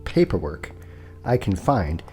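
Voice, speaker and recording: An actor playing a formal, deferential property broker who stutters, in an audio drama. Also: male voice, deep voice, big pitch swings, a little slow, warm tone, soft background music. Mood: formal, measured. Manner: formal, precise, apologetic.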